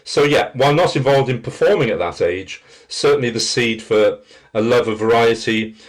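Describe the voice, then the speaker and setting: A man's voice: medium pitch, normal speed. A middle-aged man with a rich voice, reminiscing as a guest in an interview. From Wales. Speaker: interview guest, theatre practitioner and teacher.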